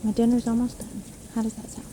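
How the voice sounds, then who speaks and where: A woman playing a seemingly kindly, sly witch who lives alone in a forest, speaking gently and unhurriedly in a fantasy audio roleplay. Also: on the high side, monotone, fast, background noise, close to an everyday voice. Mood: coaxing, hospitable. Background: rain storm ambience.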